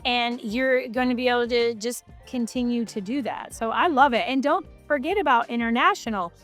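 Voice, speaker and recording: A woman's voice: fairly high pitch, normal speed, fairly bright tone, soft background music. A woman from Michigan hosting a casual business chat, talking in an enthusiastic, chatty way full of "like". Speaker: host.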